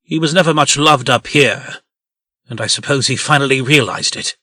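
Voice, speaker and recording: A man plays a self-important old-school farm pig for a comic dub. He is stubborn and indignant, with a raspy edge and a bright, tense tone. The recording is close and dry. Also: mid-range voice, normal speed, dry recording. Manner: pompous, indignant.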